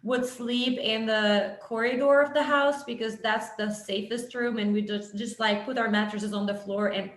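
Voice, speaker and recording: A woman from Lebanon in her thirties with a Lebanese accent, speaking as a webinar guest over a video call and telling her story in a warm, reflective way. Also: a little high, steady tone, moderate pace, few pauses, reverberant. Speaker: guest, minister in training. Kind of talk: casual webinar conversation. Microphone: video call mic.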